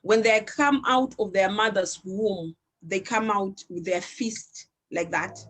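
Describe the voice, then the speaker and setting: A woman from Zimbabwe whose first language is Shona, speaking on a panel in an earnest, reflective way with pauses and restarts. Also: mid-range voice, fairly bright tone. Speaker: panelist, founder of an arts organization. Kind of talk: panel discussion.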